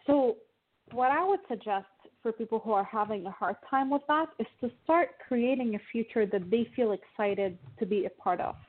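A woman's voice: a little high, moderate pace. A woman from Lebanon, a guest financial therapist, explaining her views in a thoughtful way with frequent restarts. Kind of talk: casual interview talk. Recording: tinny phone-like line.

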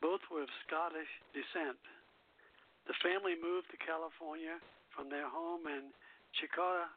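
A man hosting a radio show, reading biographical narration haltingly, with casual asides. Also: a little high, steady tone. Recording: phone-like internet radio line.

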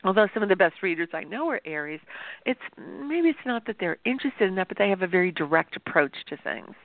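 A woman astrologer hosting a show, talking to viewers in a chatty, explanatory way, with a sore throat that makes her voice gravelly. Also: mid-range voice, very animated, fast, no echo. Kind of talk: informal talk-show monologue. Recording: tinny phone-like dry sound.

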